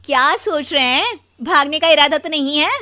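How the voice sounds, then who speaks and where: Woman acting a high-pitched nagging young wife. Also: moderate pace, pushed and bright, faint music behind. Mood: teasing. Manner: whiny, pleading, scolding. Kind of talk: Hindi cartoon story dialogue.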